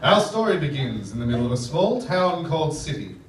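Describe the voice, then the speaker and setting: A man's voice: fairly high pitch, very animated, brisk, background noise. A man playing a pompous stage-show narrator who fancies himself a hero, theatrical and dramatic. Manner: grandiose, dramatic. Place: theatre stage, live performance. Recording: echoey theatre stage from far off.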